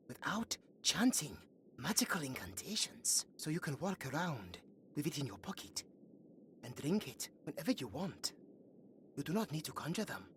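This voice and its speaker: A woman playing a young, earnest and chatty elven guard in a fantasy audio roleplay, musing aloud in a soft, hesitant way. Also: sits low, a little hiss or hum.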